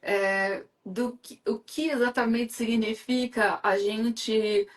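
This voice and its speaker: A woman's voice: on the high side, fairly even intonation, slow. A woman from Brazil hosting a live stream, talking in a chatty, enthusiastic way with lots of fillers. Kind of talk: casual live chat.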